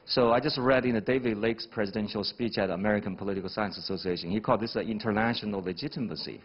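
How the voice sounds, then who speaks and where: Male academic, husky voice, methodical point-by-point delivery; muffled sound. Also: lowish voice. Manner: measured, lecturing in numbered points. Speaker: professor, panel guest. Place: TV studio.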